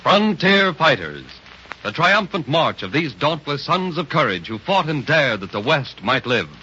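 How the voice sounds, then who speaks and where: A man's voice: mid-range voice, sharp tone, slight background noise. A man narrating a 1930s radio history drama in a grandiose, declamatory announcer style. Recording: muffled 1930s radio recording.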